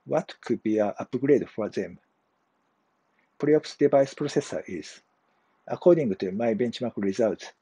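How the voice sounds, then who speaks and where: A man, giving a measured, prepared testimonial to camera over a video call. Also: mid-range voice, mellow, slightly rough, dry recording, rough recording. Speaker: customer engineer giving a testimonial. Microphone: webcam video call framing.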